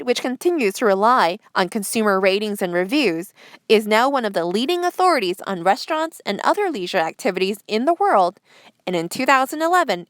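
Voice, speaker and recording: A woman's voice: medium pitch, no room sound, clean studio sound. A woman hosting a read-aloud news feature, narrating from a script. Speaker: host reading articles aloud.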